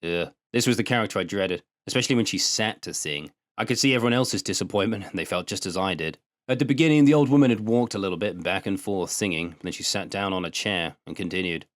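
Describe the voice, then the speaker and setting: A man's voice: sits low, fast, few pauses, no room sound. Man narrating a story, dry close sound. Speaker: narrator and translator. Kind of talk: first-person short story narration.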